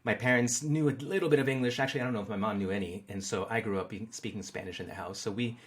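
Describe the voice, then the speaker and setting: A man's voice: fairly low pitch, racing, fairly bright tone. A middle-aged man talking casually as an interview guest, often repeating words as he searches for phrasing. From born in Peru, raised in the United States. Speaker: interview guest, market researcher.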